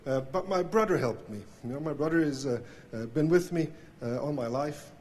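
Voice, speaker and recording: A middle-aged Swiss man with a Swiss German accent speaks into a stage microphone, his voice sitting a little high for a man. He talks in halting bursts, full of restarts and fillers, as he gives an acceptance speech. A faint hiss of live-recording noise runs underneath. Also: a little mellow. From Switzerland. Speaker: award inductee. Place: hall with audience.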